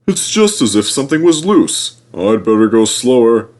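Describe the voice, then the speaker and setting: A man's voice: mid-range voice, big pitch swings, moderate pace, natural voice. A man voicing a pompous, boastful big male steam engine in a storybook reading, speaking with stubborn, emphatic force. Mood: uneasy. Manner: loud, emphatic, panting when straining.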